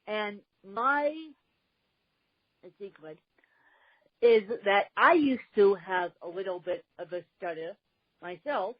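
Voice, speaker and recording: Slow, deliberate woman hosting a podcast. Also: medium pitch, dry recording, muffled recording. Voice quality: slight speech impediment. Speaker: podcast host. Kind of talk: podcast interview.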